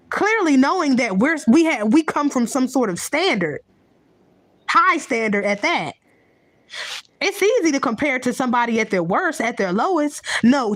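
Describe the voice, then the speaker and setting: A woman's voice: fairly high pitch, dry recording. A woman giving a heated, profane, unscripted rant to her viewers, blunt and repetitive, often restarting her sentences. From United States. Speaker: vlogger.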